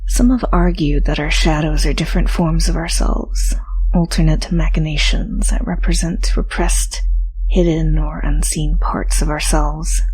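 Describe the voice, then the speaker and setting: A woman reading a scripted hypnosis induction as a hypnotist, talking softly and slowly and often sliding into a breathy whisper. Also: low-pitched, level tone, slight background noise, rough recording. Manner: soft, slow, breathy whispering. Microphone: studio mic, binaural.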